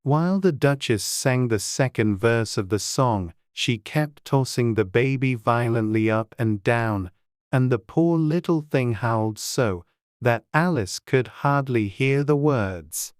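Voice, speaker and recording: A man's voice: medium pitch, expressive, measured pace, smooth, no room sound. Male storybook narrator, close and dry. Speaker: audiobook narrator.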